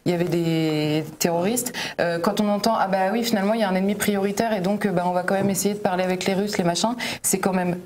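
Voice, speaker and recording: A woman's voice: mid-range voice, steady tone, quick pace, hardly any pauses, a little mellow, a little hiss or hum. A woman speaking as a panelist on a TV debate, combative and sprinkling her speech with fillers.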